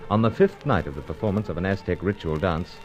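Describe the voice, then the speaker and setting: Actor as deep-voiced earnest secret agent. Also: male voice, fast, a little mellow, soft background music, lightly acted. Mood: tense narration. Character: earnest, conscientious British male secret agent hero. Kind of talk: old-time radio serial acting and narration.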